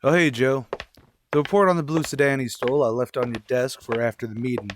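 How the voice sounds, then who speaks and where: A man's voice: medium pitch, fairly even intonation, a little fast, slight background noise, natural voice. A man playing a plain-spoken police detective, the loyal partner, in an old-time radio crime drama. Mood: discouraged, businesslike.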